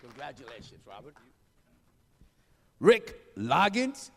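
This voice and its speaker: A man with a Spanish accent, an auctioneering instructor giving a lively, joking graduation speech and calling out names. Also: on the high side, slow pace, noisy background. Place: large hall. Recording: live hall, long reverb.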